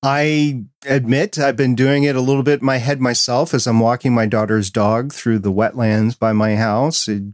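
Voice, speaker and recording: A man's voice: mid-range voice, fairly even intonation, moderate pace, dry recording, studio quality. A middle-aged man hosting a podcast, chatting casually and putting questions to his guest. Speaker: podcast host, interviewer. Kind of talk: casual interview chat.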